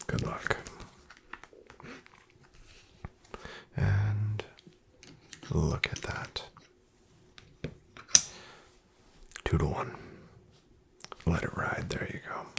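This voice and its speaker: A man playing a shady, wryly menacing back-alley casino dealer in a soft-spoken, breathy ASMR roleplay, with an unhurried drawl. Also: on the low side, full character voice. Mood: calm coaxing. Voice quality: whispery, breathy, gravelly. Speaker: card game dealer in a roleplay.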